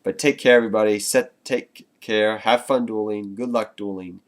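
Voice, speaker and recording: Congested low-voiced man explaining cards. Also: fairly even intonation, moderate pace. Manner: chatty, loose, addresses viewers as guys. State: congested with a cold. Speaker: card game vlogger. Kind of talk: casual deck explanation to viewers.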